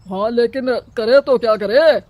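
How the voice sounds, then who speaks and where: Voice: medium pitch, a little slow, dull audio, close to an everyday voice. A performer voicing a scheming, bossy village headman who scolds and threatens villagers in a storybook cartoon. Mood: scolding. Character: scheming middle-aged male village headman.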